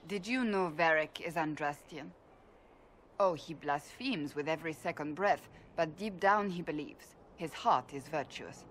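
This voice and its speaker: Low-voiced stern warrior woman. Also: lively intonation, a bit bright, a little hiss or hum. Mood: earnest, troubled. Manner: formal, measured, deliberate phrasing. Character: stern, devout, principled warrior woman, earnest and blunt. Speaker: voice actress in a fantasy game. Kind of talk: dramatic video game dialogue.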